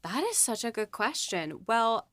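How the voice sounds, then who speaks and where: A woman co-hosting a podcast and talking through her writing process, animated, with easy laughs and lots of fillers. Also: a little high, measured pace, no echo. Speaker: podcast co-host and series writer. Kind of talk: casual chat.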